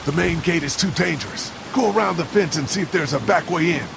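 Tense protective negotiator for a game drama: high, gravelly, urgent, pleading. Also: male voice, fairly quick, background noise. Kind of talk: dramatic video game acting. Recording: loud music behind.

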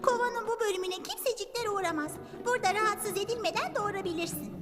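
An actress voicing a cheerful, kind young female bee in a dubbed cartoon, with a bright, childlike voice. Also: very high-pitched, fast. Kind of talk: Turkish cartoon dub.

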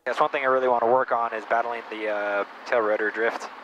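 Quiet student pilot over engine noise. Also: male voice, mid-range voice, steady tone, a little fast, muffled recording. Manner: quiet, brief acknowledgements and tentative questions. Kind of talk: in-flight training talk. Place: helicopter cockpit in flight. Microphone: aviation headset intercom. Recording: intercom audio with loud engine noise.

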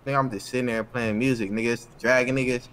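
Slangy man, middling pitch, casual roleplay. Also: steady tone, fast, a bit bright, a little hiss or hum. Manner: slangy, profane. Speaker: online roleplay gamer. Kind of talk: in-character casual street storytelling in a crime roleplay game.

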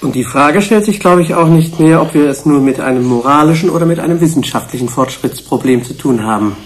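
An actor playing a sensitive, protective researcher in a German radio drama, earnest and emotional. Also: male voice, fairly high pitch, a little fast, natural voice.

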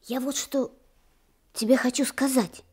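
A woman's voice: sits high, steady tone, unhurried, a little mellow, husky. A woman playing an eager, curious little boy in a Soviet radio drama, speaking earnestly.

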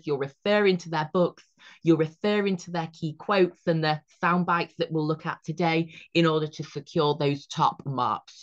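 Young guy, voice still hoarse, chatty upbeat revision tutor, kinda muffled webcam sound. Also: fairly high pitch. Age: young adult. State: hoarse, recovering from losing his voice. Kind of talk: exam revision lesson. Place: indoor room with bookshelves.

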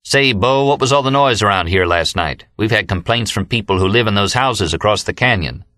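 A man's voice: fairly low pitch, a little fast, bright, forceful tone, dry recording, studio quality. A male audiobook narrator with an American accent voicing a grim night cop who scolds in folksy, ungrammatical speech. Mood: scolding.

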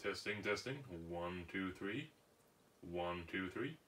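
A distant, faintly noisy answering machine plays a man testing the line. His voice is low, and he counts out very slowly. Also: animated, bright, forceful tone. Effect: played back from an answering machine. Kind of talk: slow microphone test counting. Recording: distant, noisy phone message playback.

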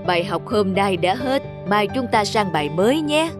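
A wise old village schoolmaster in a cartoon folk tale, voiced by a woman. He instructs in a measured, patient way, with music playing clearly underneath. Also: mid-range voice, expressive, close to an everyday voice. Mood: earnest, explaining. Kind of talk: scripted cartoon dubbing.

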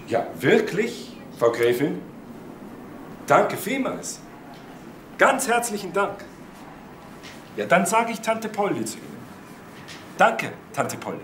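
Lively, flattering gentleman, highish voice. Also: slow pace, long pauses, full character voice. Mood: effusive. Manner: effusive, obliging. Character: obliging, flattering gentleman charming a countess. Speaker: actor in a crime drama. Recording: echoey room with clear noise.

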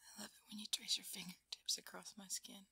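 Woman acting a breathy, whispering, vulnerable girlfriend. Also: mid-range voice, level tone, pushed and bright, no echo, heavily acted. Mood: soothed, apologetic. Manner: halting, stammering, intimate. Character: shaken, affectionate girlfriend woken by a nightmare. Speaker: audio roleplay performer. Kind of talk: ASMR girlfriend roleplay, whispered.

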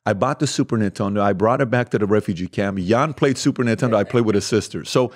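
Animated man telling stories, native Assyrian speaker. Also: on the low side. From Iran. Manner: animated storyteller, quick asides and self-deprecating jokes. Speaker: podcast guest. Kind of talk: casual interview storytelling. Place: podcast studio with bookshelves. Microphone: studio mic with headphones.

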